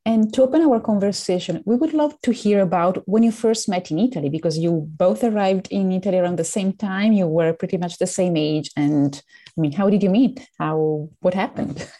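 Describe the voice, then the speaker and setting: A woman moderates a panel and asks her questions in a polite, measured way. Her voice sits somewhat low and sounds mellow.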